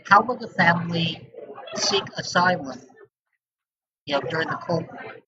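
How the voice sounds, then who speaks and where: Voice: medium pitch, animated, normal speed, pushed and bright. Interviewer on a dull, noisy mic. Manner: brief questions, prompting. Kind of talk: conversational interview. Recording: dull remote mic with noise.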